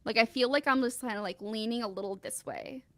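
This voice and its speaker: A woman guest in casual chat, telling animated stories and acting out what people said. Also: on the high side, brisk, no echo. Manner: animated storyteller, acts out quotes. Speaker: podcast guest.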